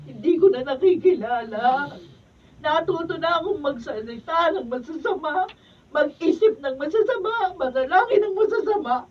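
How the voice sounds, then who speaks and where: A woman's voice: high-pitched, darkish tone. A woman with a Filipino accent playing a long-suffering, outspoken pregnant wife in an emotional radio drama. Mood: tearful confession. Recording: dull, distant radio-studio behind-the-scenes recording.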